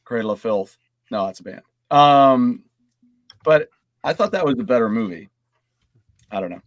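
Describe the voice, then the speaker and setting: A man's voice: mid-range voice, expressive, moderate pace, no room sound, clean studio sound. A man co-hosting a casual gaming panel chat, talking in a rambling, conversational way. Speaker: co-host of a gaming live stream.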